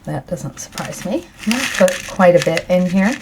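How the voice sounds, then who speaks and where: A middle-aged woman speaking softly during an ASMR tea tasting, her voice croaky, clearing her throat often, rambling gently with fillers. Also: on the low side, expressive, background noise. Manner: rambling, soft and unhurried with fillers.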